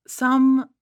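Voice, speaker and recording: A woman's voice: dry recording, studio quality. A woman appearing as a podcast guest, casually and enthusiastically discussing things.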